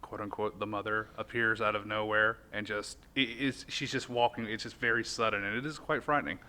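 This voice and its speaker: A man's voice: lowish voice, steady tone, a little fast, sharp tone. A man chatting casually as a podcast host, conversational and ready with his opinions. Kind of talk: casual chat about films.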